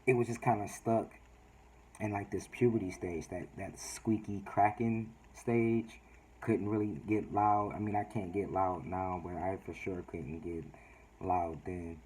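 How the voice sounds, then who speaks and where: A man talking casually in a personal vlog update, with a mild, laid-back, halting delivery. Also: deep voice, fairly even intonation, darkish tone, poor audio. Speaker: vlogger.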